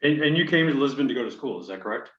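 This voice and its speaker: A man's voice: mid-range voice, animated, rapid-fire, fairly bright tone. Guy hosting an interview, muffled echoey sound, stumbles into his questions. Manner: friendly, stammers on openings. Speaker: podcast host.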